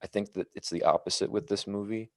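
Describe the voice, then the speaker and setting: A man on a podcast panel casually discussing films in a measured, thoughtful, somewhat halting way. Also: lowish voice, steady tone, dry recording, studio quality.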